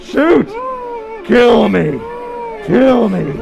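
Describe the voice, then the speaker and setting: A man's voice: very high voice, big pitch swings, extremely slow, vocal fry, raised voice, lo-fi sound, a crowd in the background, full character voice. A man playing a manic pulp hero, taunting theatrically like a madman in a radio serial parody. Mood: manic frenzy. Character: manic male pulp hero goading his would-be killer. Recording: music and clamour behind.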